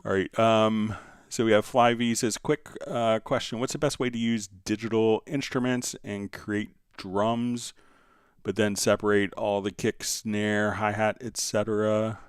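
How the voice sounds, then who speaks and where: A man hosting a casual live software tutorial, explaining step by step in a conversational way as he answers viewers. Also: lowish voice, little pitch movement, measured pace, clean tone, dry recording, studio quality. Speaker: livestream host and software instructor.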